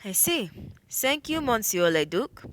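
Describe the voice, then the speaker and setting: Woman doing bossy official french police prefect in a radio play, bright voice, very up and down. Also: on the high side, big pitch swings, a little slow, slight background noise. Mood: formal, probing. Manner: formal, questioning. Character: formal, authoritative French female prefect of police. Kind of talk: radio play courtroom acting.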